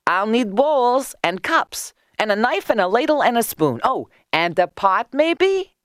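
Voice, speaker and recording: A woman's voice: on the high side, sing-song, measured pace, no echo, clean studio sound, voice pushed up. A woman voicing a wily, persuasive little male beggar in a storybook tale, coaxing and theatrical.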